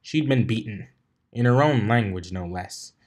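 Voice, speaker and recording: A man's voice: lowish voice, animated, a little slow, darkish tone. A man narrating a fan fiction story aloud in an informal way, stumbling over and rereading lines now and then.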